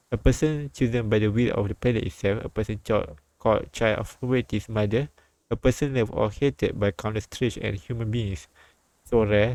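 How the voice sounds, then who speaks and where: Male streamer reading a story live: low register, gravelly fry, stumbling delivery, dry close sound. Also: a little mellow, dry recording, poor audio. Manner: halting, repeats words while reading. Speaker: streamer reading a visual novel aloud. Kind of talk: live read-aloud with translating and commentary.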